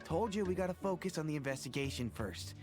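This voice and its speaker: An actor playing a laid-back male mercenary informant who talks in a relaxed, joking way. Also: fairly high pitch, fast talker, over music, close to an everyday voice. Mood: easygoing. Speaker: game character.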